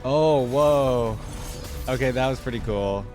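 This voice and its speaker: A man's voice: medium pitch, slow, darkish tone, soft background music. A man hosting a casual reaction video, informal and thinking aloud as he comments.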